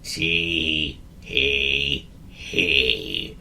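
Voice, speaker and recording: A man's voice: low voice, wildly swooping pitch, extremely slow, gravelly, sharp tone, recorded from a distance, slight background noise, full character voice. A man voicing a gloomy, grumbling male creature who mumbles miserably.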